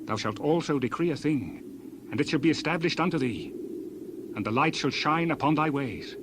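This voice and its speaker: A man's voice: fairly high pitch, animated, normal speed, noisy background, lightly acted. A man playing a stern, admonishing counselor in dramatized scripture, preaching in a declamatory way. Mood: earnest exhortation. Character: stern, admonishing friend of Job, a righteous counselor.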